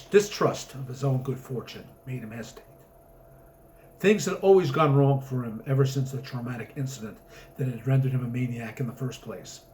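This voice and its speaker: A man's voice: mid-range voice, a little mellow, slight background noise. A man reading a story aloud as its author, narrating with theatrical, emphatic comic drama.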